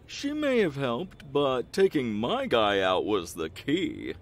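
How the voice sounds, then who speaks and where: A man's voice: medium pitch, huge pitch swings, slow pace, heavily acted. A man playing a pompous superhero, swooping delivery. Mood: smug bragging. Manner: pompous, theatrical. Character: pompous, vain, rich male superhero hogging the credit. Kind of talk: audio comedy acting.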